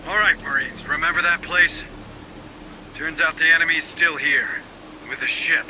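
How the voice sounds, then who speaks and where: An actor playing a weary, stubborn marine sergeant, gruff and curt, in a cinematic sci-fi game. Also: male voice, fairly even intonation, moderate pace, sharp tone. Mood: commanding. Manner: curt, sardonic, commanding. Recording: noisy, engine and ambience rumble.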